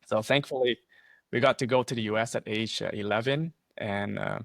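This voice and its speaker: A man's voice: on the low side, brisk, vocal fry, a bit bright, dry recording. Vietnamese-born man telling his story. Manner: earnest, laughs often, many fillers and restarts. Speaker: guest, insurance agency owner. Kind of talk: casual interview storytelling. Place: small home office, indoors.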